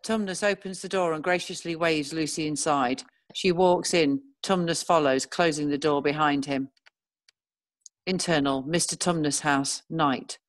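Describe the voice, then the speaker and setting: A woman narrating screenplay stage directions in a measured, descriptive reading style. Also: low-pitched, steady tone, dry recording.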